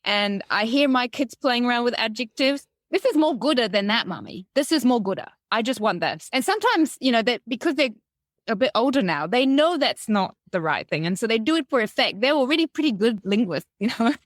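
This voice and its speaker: A woman who is a non-native speaker of English, talking as a linguist guest in a relaxed chat, with fillers and laughter. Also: on the high side, fairly quick, no echo, studio quality. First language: not English. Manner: chatty, laughing, lots of fillers. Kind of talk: casual chat.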